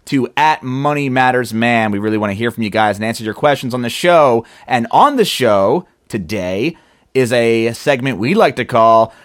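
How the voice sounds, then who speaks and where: A man hosts a podcast in a lively voice that swings up and down, mid-pitched and close to the mic. He teases his guest with a joke and then follows up with a question. The sound is dry, with no room around it. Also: normal speed, no room sound. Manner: joking, teasing interviewer. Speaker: podcast host. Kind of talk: casual podcast chat.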